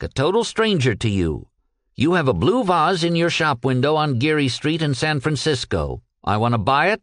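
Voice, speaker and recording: A man voicing a desperate young ex-soldier who pleads and shouts on the phone in a storybook reading. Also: no echo, studio quality. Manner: shrill, pleading, exasperated.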